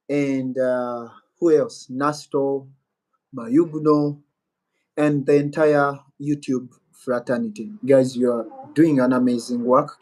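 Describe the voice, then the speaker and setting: Male live-streamer with a Ugandan accent, slow and rambling, voice in a middle register. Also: medium pitch, poor audio. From Western Uganda. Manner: rambling, muses aloud and reads viewer comments. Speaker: YouTuber hosting a live Q&A. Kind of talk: casual live-stream chat.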